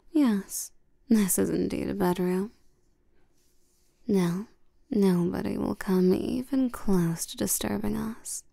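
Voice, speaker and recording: Slow, creaky, seductive vampire queen, acted by a woman. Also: mid-range voice, warm tone, no echo, full character voice. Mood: condescending, teasing. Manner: slow, teasing, domineering, with terms of endearment. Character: seductive, commanding vampire queen, condescending toward humans. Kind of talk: intimate roleplay audio acting.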